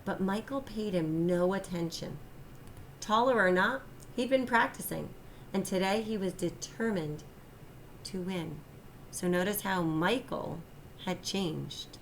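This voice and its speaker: A woman narrates a children's storybook in a teacherly, expressive way. Her voice sits at a medium pitch, and she places deliberate emphasis on important phrases. There is some noise in the recording. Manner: expressive, deliberate, emphasizes and repeats key lines. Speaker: teacher reading a storybook aloud. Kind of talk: children's read-aloud narration.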